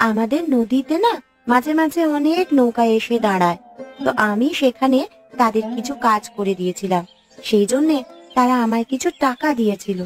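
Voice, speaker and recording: A woman voicing a caring young village daughter in a Bengali cartoon, gentle and coaxing. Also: on the high side, lightly acted. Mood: earnest. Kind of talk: Bengali cartoon story dubbing.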